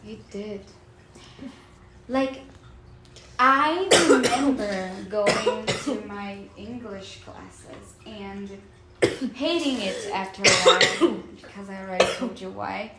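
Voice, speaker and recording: A young woman from Brazil with a Brazilian accent, a native Portuguese speaker, chatting casually as a podcast co-host in an animated, giggly way. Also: mid-range voice, slow. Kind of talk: casual chat between friends. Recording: roomy echo with faint background noise.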